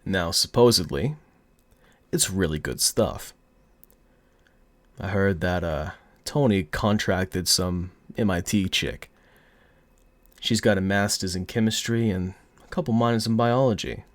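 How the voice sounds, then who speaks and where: A man's voice: sits low, measured pace, long pauses, dry recording. A man playing a cocky, flirty, menacing mafia boss in an audio roleplay, with a put-on mobster accent and a smug, teasing drawl. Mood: smug, businesslike.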